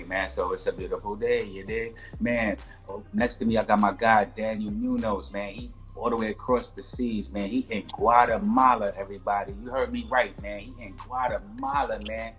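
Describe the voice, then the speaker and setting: A man's voice: lowish voice, flat delivery, fairly quick, few pauses, faint music behind. A man hosting a casual podcast interview over a video call, laid-back and full of slang, often tagging "you know what I mean.". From New York. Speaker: podcast host.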